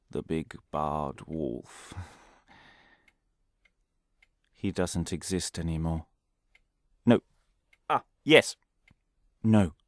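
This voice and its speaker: A man's voice: low voice, no room sound, studio quality. An actor playing an unhinged, middle-aged male academic in an audio drama monologue, rambling and theatrical, given to muttering and ranting.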